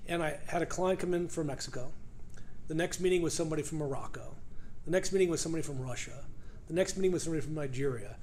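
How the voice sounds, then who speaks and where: Middle-aged guy, new york accent, talks fast with lots of uhs, kinda high voice, faint room hum. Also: darkish tone. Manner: fast-talking, lots of uh fillers. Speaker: immigration lawyer, interview guest. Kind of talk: casual interview. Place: conference room.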